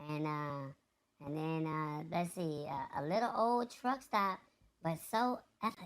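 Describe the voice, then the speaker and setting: A woman's voice: deep voice, unhurried. A woman hosting a live show in a casual, chatty style. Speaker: show host.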